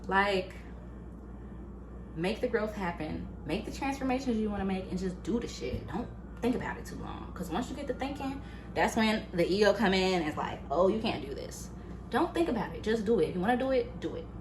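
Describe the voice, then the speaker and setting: A woman in her late twenties talking to her YouTube viewers in a casual, animated, chatty way, full of fillers. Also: medium pitch, brisk, background noise. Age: late 20s. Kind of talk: casual motivational vlog. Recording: echoey room, faint ambience.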